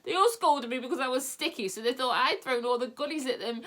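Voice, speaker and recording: A woman's voice: lowish voice, animated, brisk, close to an everyday voice. Woman putting on a whiny, gloomy storybook creature. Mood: weeping. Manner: whiny, tearful. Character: timid gloomy male magical tree-dweller.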